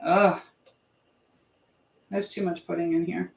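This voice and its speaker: A woman's voice: on the low side, level tone, takes it slowly, gravelly, echoey room, background noise. A woman hosting a live stream in casual chat, drifting informally between advice to viewers and comments on what she is doing.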